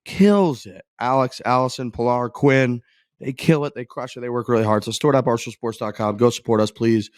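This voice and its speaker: A man's voice: medium pitch, brisk, darkish tone, no room sound, studio quality. A man hosting a golf podcast, chatting loosely and running through a casual ad read with lots of repeats. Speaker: podcast host.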